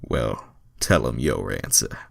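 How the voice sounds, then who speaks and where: A man's voice: a bass voice, slow, creaky, no room sound, clean studio sound, voice pushed down, heavily acted. A man voicing a laid-back, slightly cocky male hedgehog hero in a fan fiction reading. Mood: easygoing.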